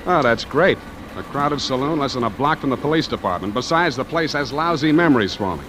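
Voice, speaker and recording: An American actor playing a cynical hardboiled private detective in 1940s radio noir, dry, sardonic and terse. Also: male voice, animated, a little fast, fairly bright tone, close to an everyday voice. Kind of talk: 1940s radio noir acting and first-person narration. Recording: old radio recording with music and rumbling noise.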